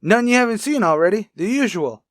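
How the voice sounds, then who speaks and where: A man playing a wry, chatty police lab technician in a radio crime drama, brisk and casual. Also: high-pitched, animated, no room sound, clean studio sound. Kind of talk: old-time radio crime drama acting.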